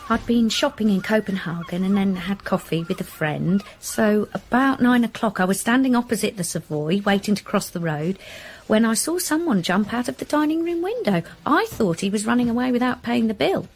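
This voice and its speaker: An actress playing an ordinary, helpful eyewitness in a radio drama, recalling details in a matter-of-fact way. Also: female voice, mid-range voice, animated, moderate pace, breathy edge, poor audio. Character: ordinary, helpful female eyewitness recounting what she saw.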